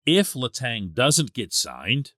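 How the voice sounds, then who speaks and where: A man delivers solo sports commentary as a podcast host, swinging widely in pitch as he stresses his points. His phrasing is deliberate and emphatic, broken by dramatic pauses, and the sound is close and dry with a medium-pitched voice. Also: very animated, sharp tone, dry recording. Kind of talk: solo sports commentary, conversational with a read sponsor spot.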